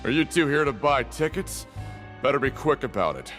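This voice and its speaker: An actor voicing a condescending ticket seller at a fight arena in a video game. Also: male voice, mid-range voice, big pitch swings, moderate pace, lightly acted. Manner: condescending, salesman-like. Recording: game audio with music. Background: game music.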